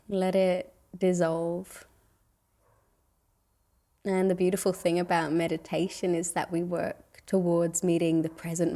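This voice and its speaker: A woman guides a meditation with a mellow, relaxed tone. Her pitch sits slightly low and stays very even. She speaks gently and soothingly, leaving long pauses between phrases.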